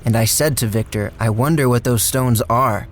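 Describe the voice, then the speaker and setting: A man's voice: medium pitch, normal speed, soft background music, natural voice. An actor playing an easygoing handyman with a folksy, rambling way of telling his shady story in a radio drama. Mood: reflective, plainspoken. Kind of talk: radio drama first-person narration and dialogue.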